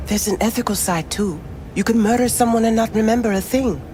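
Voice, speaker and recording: A modest, reserved woman voiced by an actress in game dialogue. Her voice is fairly high and very soft-edged in tone, with faint ambient noise behind it. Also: a little fast, close to an everyday voice. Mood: concerned.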